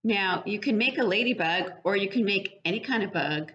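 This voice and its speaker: A woman's voice: mid-range voice, lively intonation, normal speed, fairly bright tone, slight background noise. An older woman, explaining a children's craft step by step in a plain way, like a preschool educator. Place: living room at home, indoors. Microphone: webcam at about arm's length. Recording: dull webcam sound.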